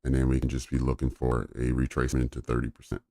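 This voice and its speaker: A man with a very deep voice streaming live, talking through trades in a relaxed way with fillers. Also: fast talker, creaky, dry recording, clean studio sound. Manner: relaxed, thinking aloud with fillers. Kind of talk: live trading commentary.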